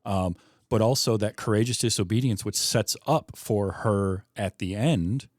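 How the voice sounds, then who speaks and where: A man's voice: lowish voice, a little slow, no room sound, studio quality. A man hosting a podcast, discussing a film in a casual, conversational way. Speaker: podcast host.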